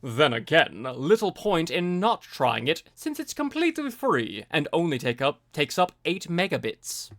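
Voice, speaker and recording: This is a streamer with a bright voice and a lively, swinging pitch. The delivery mixes reading aloud with casual live commentary, often interjecting informal, sweary asides. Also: unhurried, few pauses.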